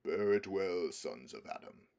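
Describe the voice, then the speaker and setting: Noble storybook lion ruler, acted by a male reader: deep, grave, solemn, commanding. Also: lively intonation, measured pace, a little mellow.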